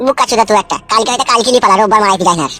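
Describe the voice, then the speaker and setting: A man's voice: sits high, racing, sharp tone, faint music behind. A man voicing a cheeky, foul-mouthed, henpecked village husband in a comedy cartoon, with a rural West Bengal accent. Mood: brash, egging on. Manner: brash, colloquial.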